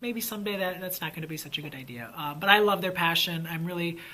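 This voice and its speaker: Eager, bright-voiced man teacher vlogging. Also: fairly high pitch, fast talker. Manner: enthusiastic, rambling with many fillers. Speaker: fifth grade teacher. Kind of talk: casual vlog chat.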